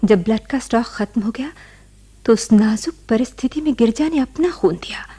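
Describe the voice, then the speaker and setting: An actress playing a grieving widow who recounts her tragic past in a radio play, slow and sorrowful. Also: a little high, expressive, dark tone. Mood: solemn recollection. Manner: slow, sorrowful, breathy delivery. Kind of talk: dramatic radio play narration.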